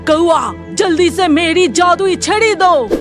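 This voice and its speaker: A woman voicing an arrogant, power-hungry dark fairy in a children's cartoon, haughty and commanding. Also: extremely high pitch, lively intonation, slow pace, over music, full character voice. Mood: scornful, impatient. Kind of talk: Hindi children's cartoon dubbing.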